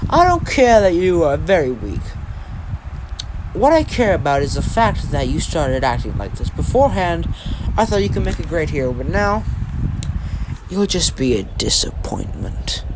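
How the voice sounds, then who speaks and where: A man's voice: fairly high pitch, sing-song, background noise. A man voicing a smug, condescending teenage hero student in an anime-style story, mocking his rival. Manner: mocking, condescending, drawn-out taunts. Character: smug, taunting teenage superhero student with evil smile.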